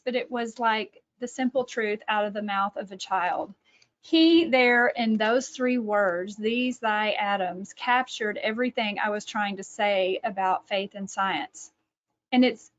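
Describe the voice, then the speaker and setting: A middle-aged woman with a Texas accent, telling a personal story in a presentation, with many fillers and restarts. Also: medium pitch. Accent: Texan. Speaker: author and catechesis presenter. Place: home room, indoors. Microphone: webcam on video call. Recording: dull lo-fi webcam audio.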